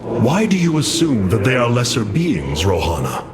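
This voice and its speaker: An actor playing a noble, resolute alien warrior commander in a video game, speaking in a formal, measured, commanding way. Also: male voice, mid-range voice, huge pitch swings. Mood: patient, earnest. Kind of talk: dramatic video game acting. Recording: loud game music behind.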